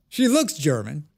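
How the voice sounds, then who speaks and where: An American man chatting casually with his viewers while playing a game on a live stream, reading and answering their comments. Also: high-pitched. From United States.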